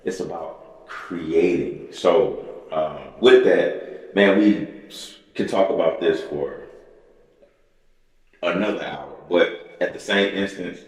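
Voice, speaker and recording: A man with a very low, deep voice talks shop like a personal trainer on a podcast. He circles back on his points in a rambling, motivational way. The room is hard and echoey, with a long trailing reverb. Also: slow pace. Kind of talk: casual podcast chat.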